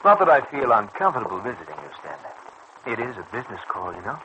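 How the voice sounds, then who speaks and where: An actor in an old radio serial playing a smooth, scheming bootlegging boss with formal, condescending politeness. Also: male voice, fairly low pitch, wildly swooping pitch, quick pace, muffled recording. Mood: slyly amiable. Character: smooth, scheming male bootlegging boss, coolly double-crossing. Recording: faintly noisy old radio recording.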